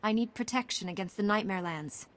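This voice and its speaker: A woman's voice: mid-range voice, a little fast, a bit bright, natural voice. A woman playing a resolute young warrior hero in a video game, speaking in an earnest, direct, composed way. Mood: questioning. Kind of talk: video game cutscene acting. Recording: music under the voice.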